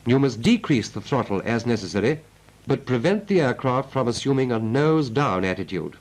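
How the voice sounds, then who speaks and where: A British man delivers scripted instruction in the style of a 1940s military training film. His pitch is medium and moves up and down in a lively way. He sounds clipped and methodical, like an instructor, on a period film soundtrack. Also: brisk, rough recording.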